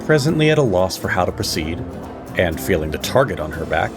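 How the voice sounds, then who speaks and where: A man narrating an audio drama as storyteller, with measured, theatrical pauses. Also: sits low, sing-song, over music.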